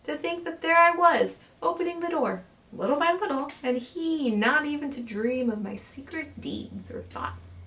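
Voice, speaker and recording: A woman voicing a nervous, secretly gleeful mad narrator who insists on being sane, read as dramatic horror storytelling with intense emphasis and breathy drops. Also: high-pitched, expressive, normal speed, few pauses, a bit bright, pitched above the performer's own voice. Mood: gleeful, tense. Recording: distant mic with background noise.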